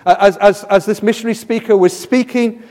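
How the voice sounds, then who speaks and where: An English man preaching with a high voice, earnest and rhetorical, who often restarts his phrases. His sermon rings with the long reverb of a church hall. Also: fairly even intonation, a little fast, a little mellow. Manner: earnest, rhetorical questions, repeats words when starting phrases.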